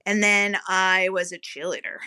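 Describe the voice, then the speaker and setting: A middle-aged woman with a bright, forward voice tells stories as a podcast guest. She talks in a relaxed, chatty way, laughs often, and delivers her anecdotes with lively energy. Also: medium pitch, normal speed, clear, resonant tone. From Manchester, New Hampshire. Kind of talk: casual podcast conversation.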